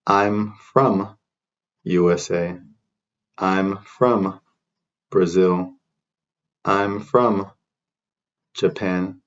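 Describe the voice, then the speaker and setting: A man teaching beginner English, repeating short phrases slowly for learners to copy. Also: low voice, muffled recording. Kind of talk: slow repeat-after-me drill.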